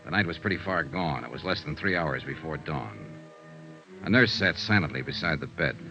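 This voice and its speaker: Low-voiced hardboiled radio detective. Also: male voice, lively intonation, normal speed, heavily acted. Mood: somber. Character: wry, hardboiled male private investigator narrating his case. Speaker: narrator and lead detective. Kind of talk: 1950s radio detective drama acting. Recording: dull vintage radio recording with loud music.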